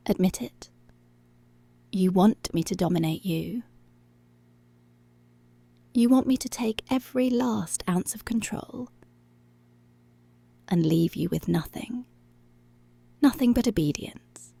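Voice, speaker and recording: A woman playing a dominant, menacing policewoman in a teasing, seductive ASMR roleplay, commanding and drawn-out, often slipping into whispers. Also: mid-range voice, lively intonation, gravelly, warm tone, slightly rough, dry recording, full character voice. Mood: taunting, seductive. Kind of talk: intimate ASMR roleplay, slow and breathy.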